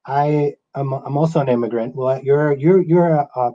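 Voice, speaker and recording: A man from Guatemala whose first language is Spanish, hosting a casual interview with a relaxed, stammering delivery. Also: medium pitch, moderate pace, muffled recording. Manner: relaxed, hesitant with stammered restarts. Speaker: radio host.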